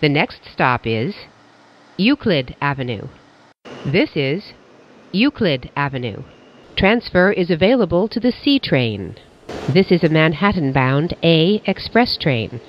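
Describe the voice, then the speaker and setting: A train announcer reading scripted transit announcements in a clipped, measured, formal way. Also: medium pitch, sing-song. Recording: dull lo-fi audio with clear background noise.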